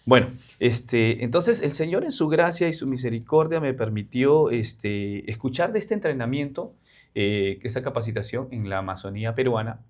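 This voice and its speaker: A man's voice: medium pitch, lively intonation, moderate pace, a little mellow. Earnest Peruvian man explaining. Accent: Peruvian Spanish. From Peru. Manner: earnest explaining, with frequent fillers. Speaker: missionary guest. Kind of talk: interview conversation.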